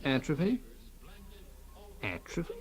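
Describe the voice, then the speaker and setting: A melodramatic husband, animated and plaintive. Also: male voice, on the high side, slow pace, breathy edge, soft background music, full character voice. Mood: anxious, indignant. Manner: exclaiming, repeats himself, indignant and plaintive. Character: melodramatic, self-pitying middle-aged husband, prone to exaggeration. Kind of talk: comic radio play acting. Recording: noisy radio drama recording.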